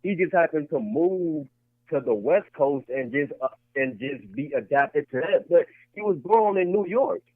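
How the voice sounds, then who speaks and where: A man's voice: on the high side, expressive, measured pace, dry recording. A man co-hosting a casual podcast who argues his point and keeps repeating himself. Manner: repetitive, argumentative, restarts points. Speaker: podcast co-host. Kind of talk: casual debate chat. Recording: tinny lo-fi podcast audio.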